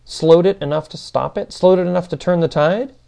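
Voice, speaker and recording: Man as insistent female philosopher, creaky voice. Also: high-pitched, fairly quick, dark tone, pitched above the performer's own voice. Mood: probing. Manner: insistent, argumentative. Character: defiant, argumentative female digital philosopher, quick-witted and insistent.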